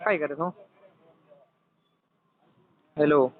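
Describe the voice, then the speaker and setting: A man with a high voice and a Rajasthani accent talks casually over the phone. The line is thin and tinny, with some faint noise behind him. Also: takes it slowly. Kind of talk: casual private phone call.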